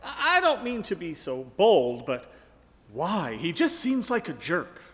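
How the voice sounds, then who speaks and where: A man's voice: sits high, wildly swooping pitch, a little slow, heavily acted. A man playing a plainspoken, good-hearted, hesitant farmhand in a comic radio play. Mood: doubtful, resigned. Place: live on stage in a ballroom. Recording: echoey live hall.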